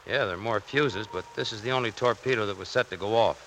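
A man's voice: fairly low pitch, fast talker, fairly bright tone, slight background noise, low-quality recording. An actor playing a cocky, defiant Navy seaman with an American accent and a brash, insistent manner, in 1940s radio drama style. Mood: explaining.

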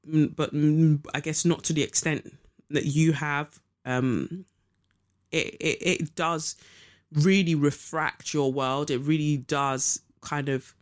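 A woman chatting through her podcast in an easygoing, meandering way. She drifts between thoughts, swears casually and fills pauses with ums. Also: low voice. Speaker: podcast host. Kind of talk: casual spiritual podcast chat.

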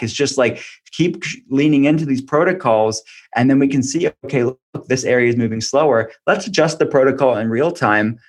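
A man speaking as an expert podcast guest, explaining health matters in an informal, conversational way peppered with "like". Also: medium pitch. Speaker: functional medicine doctor, podcast guest.

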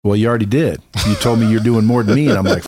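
A man's voice: lowish voice, racing, noisy background. A man talking casually as a podcast guest and entrepreneur, blunt and self-assured, with a salesman's way of putting things and some mild swearing.

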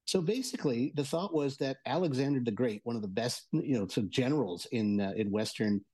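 A man giving a casual review as a show contributor, explaining haltingly and often doubling back on words. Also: mid-range voice, a little mellow, husky. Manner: halting, hesitant, repeats words. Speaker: audio entertainment and tech contributor.

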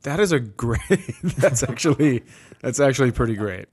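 A man's voice: mid-range voice, lively intonation, normal speed, a little mellow, slightly rough. A middle-aged man hosting a comedy podcast in casual chat, telling stories with an amused, ready-to-laugh manner.

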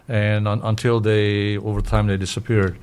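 An older man from Syria speaks as an interview guest, explaining history in a fairly low, very even voice. He moves haltingly, often repeating the start of a word while he searches for the next one. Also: clear, resonant tone.

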